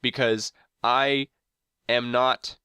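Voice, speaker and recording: A man's voice: mid-range voice, little pitch movement, very slow, bright, forceful tone, clean tone, no echo, clean studio sound. A man co-hosting a casual podcast, congested and nasal, chatty and prone to rambling complaints. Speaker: podcast co-host. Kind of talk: casual chat. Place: hot home room.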